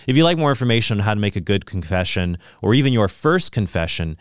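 A man giving a scripted explanatory talk in a measured way, slowing down when he reads quotations. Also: lowish voice, sing-song, dry recording, clean studio sound.

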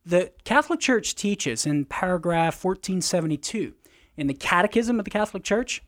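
A man with a Cajun accent, speaking at a middling pitch. He explains doctrine in a measured, teacherly way, leaning hard on key words and turning back to the caller by name. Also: very animated, fairly bright tone. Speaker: apologist. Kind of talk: explaining doctrine on call-in radio.

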